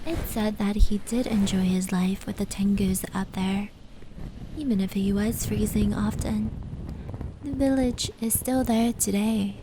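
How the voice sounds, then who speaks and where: A woman playing a gentle, knowing fox spirit in an ASMR roleplay, telling stories in a soft, breathy, soothing voice. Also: mid-range voice, natural voice. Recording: steady rain and brushing noise layered in. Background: indoor rain patter and brushing sounds.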